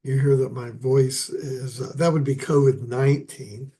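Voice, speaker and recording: An older man hosting a poetry lecture, his voice hoarse and raspy after an illness, talking haltingly with many pauses and fillers. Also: medium pitch, steady tone, mellow.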